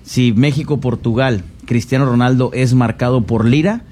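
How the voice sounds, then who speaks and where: A man hosting a sports talk show, briskly moderating questions and breaks. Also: mid-range voice, mellow. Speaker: host.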